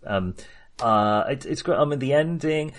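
A man's voice: medium pitch, animated, measured pace. Rambling, enthusiastic man chatting about films. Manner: rambling, enthusiastic, self-interrupting; sweary. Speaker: film discussion podcast host. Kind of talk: casual film discussion.

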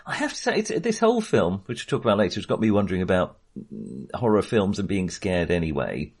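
Man, husky, lively intonation, hesitant and hedging, casual film podcast chat. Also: low voice, fairly quick.

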